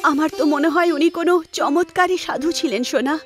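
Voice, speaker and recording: Woman playing an earnest, high-voiced village mother. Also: moderate pace, lightly acted. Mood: thoughtful. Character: earnest, wondering village mother who makes papads. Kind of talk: cartoon storytelling acting. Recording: voice over background music.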